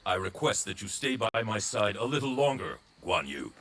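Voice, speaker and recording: An actor playing a commanding, courtly warlord in a video game, with formal, theatrical delivery. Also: male voice, fairly low pitch, big pitch swings, normal speed, gravelly, background noise. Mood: persuasive. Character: commanding male warlord, courtly, reflective and persuasive. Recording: music behind the voice.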